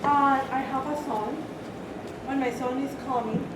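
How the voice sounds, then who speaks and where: A woman from Colombia with a Colombian Spanish accent and a high voice talks at a community meeting, halting in English and then earnest in her own language. She sits well back from the microphone in an echoing room. A loud steady hum runs under everything she says. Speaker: community member. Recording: echoey room, distant mic, loud background hum.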